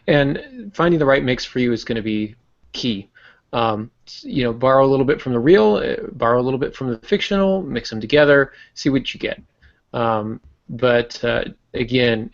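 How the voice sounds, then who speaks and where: A man's voice: medium pitch, lively intonation, normal speed. A man hosting a podcast in a casual, easygoing, lightly joking way. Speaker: podcast host. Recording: muffled lo-fi online-call audio.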